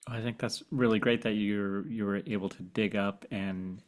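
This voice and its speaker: A man with a slightly low voice speaks as a podcast host. His interviewing is conversational and a little halting, with words often repeated as he works toward a question. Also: steady tone.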